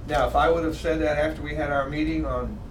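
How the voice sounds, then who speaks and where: Raspy-voiced man lecturing a class. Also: on the high side, fast. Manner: animated, joking, exaggerated delivery. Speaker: college instructor. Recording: echoey classroom from far off, noisy.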